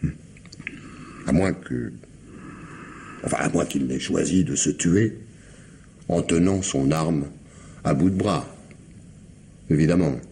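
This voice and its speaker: An actor playing a shrewd, sardonic police commissioner in a radio crime drama, questioning with wry insistence and theatrical hesitations. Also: male voice, rumbling low, slow pace, long pauses, darkish tone, a little hiss or hum, full character voice. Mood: probing irony.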